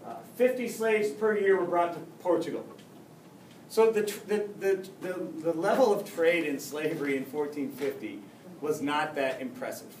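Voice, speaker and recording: A man's voice: fairly high pitch, moderate pace, darkish tone. A male history teacher lecturing casually, joking with his class and restarting often. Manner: joking, chatty, with many restarts. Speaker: high school history teacher. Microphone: camera far across the room. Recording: echoey classroom, faint hum, mic far off.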